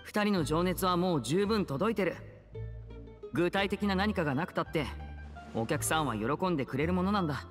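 Casting: composed young game magician, soft-spoken older-brother type; reverberant, faint music behind. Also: male voice, high voice, lively intonation, moderate pace. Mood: gentle. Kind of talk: Japanese anime game acting.

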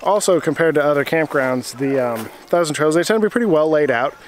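A man's voice: fairly high pitch, brisk. A man in his forties, an RV travel vlogger, explaining things steadily to a camera held at arm's length outdoors. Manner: steady, matter-of-fact, with some fillers. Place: outdoors at a campground playground. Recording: faint outdoor noise.

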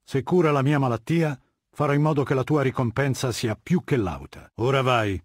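A male actor playing an imperious, menacing middle-aged warlord in a video game, commanding, cold and condescending, with a husky voice. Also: medium pitch, moderate pace, no echo, clean studio sound, close to an everyday voice. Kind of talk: video game dialogue, Italian dub.

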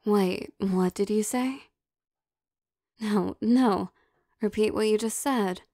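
A young vampire girlfriend, acted by a woman in an intimate audio roleplay. She speaks slowly with a gravelly fry at the edges, teasing and possessive. The sound is dry and close, with soft, coaxing phrasing and hesitant pauses. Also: mid-range voice, no room sound. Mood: hurt, annoyed.

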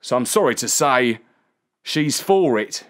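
Woman doing a slow, resigned rustic coachman. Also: extremely low pitch, animated. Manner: rustic, matter-of-fact. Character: matter-of-fact male coachman, resigned to grim orders.

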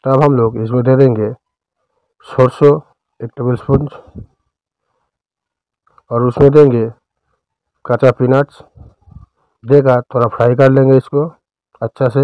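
A man with an Indian accent narrating a recipe step by step as a cooking host, in a smooth, clear voice. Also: mid-range voice, steady tone, a little slow, pauses often, no echo, lo-fi sound.

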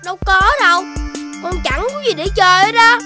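A performer voicing a playful, stubborn, curious young child in an animated, childlike way for a children's cartoon, with music underneath. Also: very high-pitched, a little fast. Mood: pleading.

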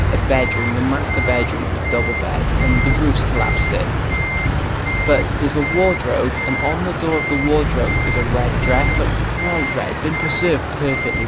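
A young man from South Wales with a Welsh accent, chatting and telling rambling stories while livestreaming a truck game. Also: mid-range voice. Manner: rambling, chatty storytelling with many asides. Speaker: gaming streamer. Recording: loud game noise behind. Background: truck game engine and road sounds.

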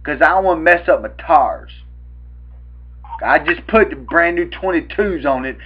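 A man's voice: on the high side, normal speed, slightly rough. A young man with a Southern US accent making a prank call, rambling, repeating himself and stammering. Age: young adult. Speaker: prank caller. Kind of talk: improvised prank phone call. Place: small room at home, indoors. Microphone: webcam at arm's length. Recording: dull, lo-fi webcam audio.

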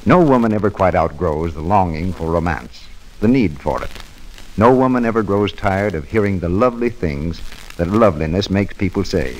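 A man's voice: low-pitched, expressive, moderate pace, darkish tone, rough recording. A man announcing a scripted old-time radio advertisement in a formal, persuasive manner.

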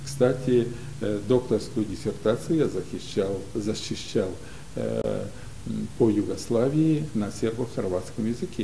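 Older man with a dark gravelly voice. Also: lowish voice, a little hiss or hum, low-quality recording. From Ukraine. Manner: unhurried, many pauses and hesitations. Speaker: guest, community leader. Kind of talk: relaxed storytelling in an interview. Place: library studio with bookshelves.

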